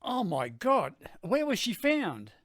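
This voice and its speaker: A man's voice: high-pitched, huge pitch swings, slow pace, fairly bright tone, no echo, studio quality, full character voice. A man playing an innocent-seeming shopkeeper husband in a radio play. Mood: shocked. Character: shopkeeper husband, outwardly innocent, told of wife's death.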